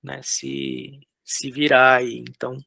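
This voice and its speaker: Gravelly-voiced Brazilian man, casual and halting. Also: mid-range voice, very animated, bright, forceful tone, dry recording. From São Paulo, Brazil. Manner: loose, hesitant, lots of filler words and restarts. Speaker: concept artist guest. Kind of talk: casual interview while drawing.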